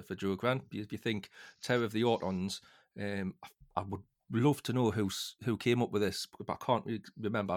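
A man hosting a casual podcast discussion in a halting way, often repeating words as he goes. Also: fairly low pitch. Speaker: podcast host.

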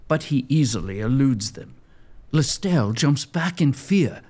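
A man narrating a scripted audio drama with suspenseful, deliberate emphasis. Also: fairly high pitch.

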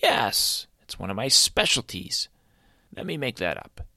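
A man's voice: big pitch swings, unhurried, gravelly, sharp tone, no echo, studio quality, heavily acted. A man voicing a small, kind, blue-skinned male creature who welcomes a stranger into his home. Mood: friendly. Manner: high, eager, welcoming.

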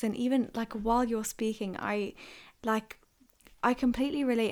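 A woman's voice: fairly high pitch, a little mellow. A woman raised in the UK co-hosting a casual podcast, enthusiastic and affirming with many fillers. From United Kingdom. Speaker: podcast co-host.

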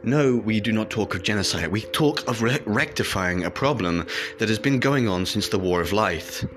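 An actor playing a stern state announcer who issues threats and slow, commanding slogans. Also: male voice, on the low side, expressive, a bit bright, soft background music. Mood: dismissive. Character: stern male state propaganda announcer, menacing.

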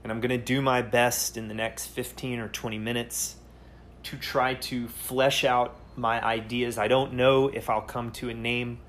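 A man hosting a solo podcast, speaking earnestly and haltingly, often pausing to search for words. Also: mid-range voice, little pitch movement, fairly bright tone, slight background noise. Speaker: podcast host.

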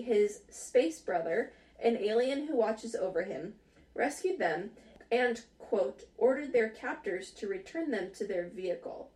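Brief: woman host, giggly, casual, mid-pitched, reads stories aloud. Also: a little mellow, recorded from a distance. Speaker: podcast host. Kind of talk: casual chat, reading out stories.

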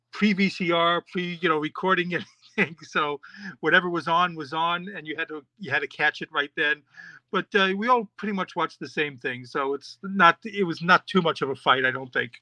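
A man's voice: on the high side, pushed and bright, no echo. A middle-aged man from New York, a podcast host and children's author, answering interview questions in a rambling, chatty way with many fillers and restarts.

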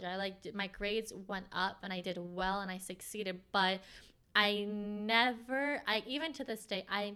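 A young woman whose first language is Farsi, hosting a podcast and talking openly in a reflective, halting way with many fillers. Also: mid-range voice, fairly bright tone, clear, resonant tone. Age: young adult. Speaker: podcast host. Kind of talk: casual heart-to-heart chat.

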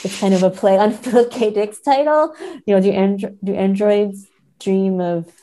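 A woman's voice: mid-range voice, moderate pace, warm tone. A woman in a conversational literary discussion, speaking thoughtfully as a podcast guest and often checking in with tag questions.

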